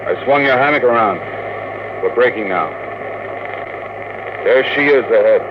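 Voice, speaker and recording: An actor playing a tough, terse, commanding rocket pilot in a 1950s radio drama. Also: male voice, mid-range voice, a little slow, lightly acted. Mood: steady, concerned. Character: tough, gruff male rocket pilot, protective but impatient. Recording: very noisy, dull old radio recording.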